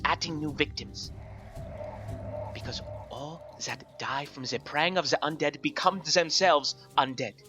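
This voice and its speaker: An actor playing a grave, commanding older professor who hunts vampires, with solemn, urgent pronouncements in a radio drama. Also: male voice, medium pitch, natural voice. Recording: loud music under the voice.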